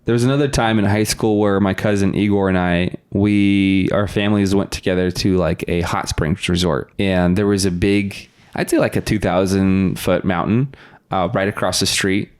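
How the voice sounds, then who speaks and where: A man with a fairly low voice and Russian as his first language is a guest on a podcast. He tells his stories in an informal, conversational way, often chuckling as he goes. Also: moderate pace, clear, resonant tone. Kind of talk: casual storytelling in conversation.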